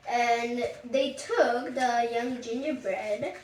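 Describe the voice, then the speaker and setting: A storyteller improvising a bedtime story in a halting, childlike way, repeating words along the way. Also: mid-range voice. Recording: echoey room, distant mic, faint noise, clicks.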